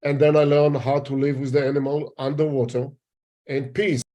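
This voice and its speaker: An older man with an Israeli accent, answering interview questions in halting English, often searching for words. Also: mid-range voice, flat delivery, warm tone. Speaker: guest. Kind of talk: interview conversation.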